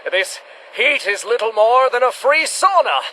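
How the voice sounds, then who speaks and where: Bombastic adventure hero, slow theatrical proclaiming, vintage radio serial style, faint background noise. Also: male voice, extremely high pitch, expressive, bright, forceful tone, full character voice, rough recording. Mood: boastful, commanding. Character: bombastic, cowardly, self-important male monster hunter.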